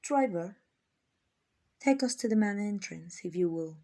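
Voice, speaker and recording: A woman playing a kind, doting vampire owner in an ASMR roleplay, soft and often whispering, gently coaxing and reassuring. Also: medium pitch, lively intonation, unhurried. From Mexico. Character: kind, doting, genteel female vampire owner, soothing and possessive.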